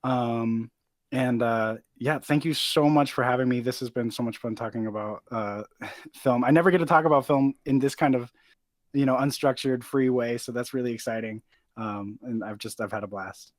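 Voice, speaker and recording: Chatty young man, mid-pitched, dry sound. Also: normal speed, no echo. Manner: earnest, filler-heavy, many "like"s. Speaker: podcast guest, film content creator. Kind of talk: casual movie chat.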